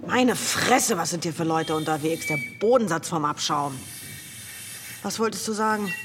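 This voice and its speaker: An actress playing an earnest, disillusioned idealist in a reflective radio play monologue. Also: female voice, lowish voice, normal speed, over music, lightly acted. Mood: disgusted.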